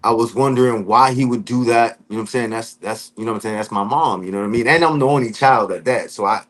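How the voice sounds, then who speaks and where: A man from Florida telling his life story in a casual interview, talking in relaxed street slang and often saying "you know what I'm saying". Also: medium pitch, fast talker. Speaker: podcast guest.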